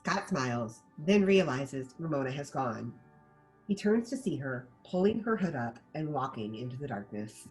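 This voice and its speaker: A woman reading stage directions as scripted narration at a table read, in an animated manner. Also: rumbling low, moderate pace, faint music behind, rough recording.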